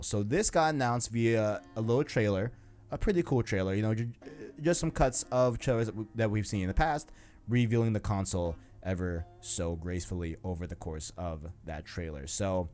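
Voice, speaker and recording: A man's voice: on the low side, a little mellow. A man chatting informally and ramblingly as host of a gaming podcast, with slangy asides. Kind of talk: casual gaming podcast chat.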